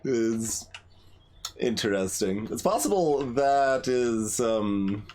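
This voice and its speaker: A man's voice: medium pitch, expressive, slow, a little mellow, slight background noise. A man giving casual, musing commentary as he plays a video game, often hesitating and trailing off. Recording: music behind the voice. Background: game music.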